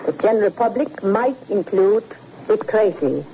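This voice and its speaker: A skeptical woman from a vintage radio crime serial, played by an actress. She speaks in a dry, deliberate way with a soft, dark tone. The recording is muffled and slightly noisy. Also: mid-range voice, expressive, lightly acted. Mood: worried. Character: skeptical, worried female accomplice of a crime boss. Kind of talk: old radio drama acting. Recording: muffled old radio recording, faint noise.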